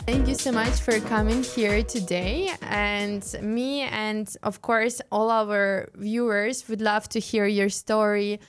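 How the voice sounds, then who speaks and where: A woman's voice: mid-range voice, fairly even intonation, slow, hardly any pauses, faint music behind. A woman speaking as a podcast host in a casual, conversational interview style.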